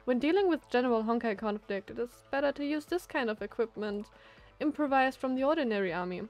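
A woman's voice: fairly high pitch, lively intonation, fast talker, darkish tone, faint music behind. Woman as a mild, tired young male official. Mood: apologetic explaining.

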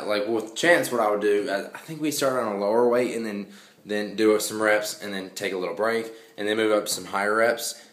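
A man's voice: fairly low pitch, fairly bright tone, reverberant. A young man vlogging from home, rambling casually with lots of fillers and restarts. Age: young adult. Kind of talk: casual vlog monologue. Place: small hallway at home at night.

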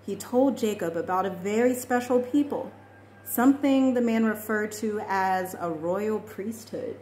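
A young adult woman reading a children's storybook aloud in a measured, expressive way. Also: mid-range voice. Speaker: children's book reader. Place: small indoor room at home. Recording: echoey room with faint noise.

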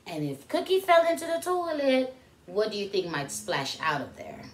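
A woman in her thirties leading children's story time, reading aloud in an animated, questioning way and stretching out key words for young listeners. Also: medium pitch, normal speed, a little hiss or hum. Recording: roomy indoor echo.

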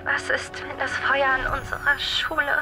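Girl acting skeptical schoolgirl detective, highish voice, music under, audio drama. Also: monotone, normal speed, lightly acted. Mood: suspicious. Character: skeptical teenage schoolgirl investigator.

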